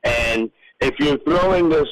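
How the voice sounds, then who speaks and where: A man in a casual sports radio discussion, talking haltingly and often repeating words as he works toward his point. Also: fairly high pitch, rough recording. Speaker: sports radio show panelist.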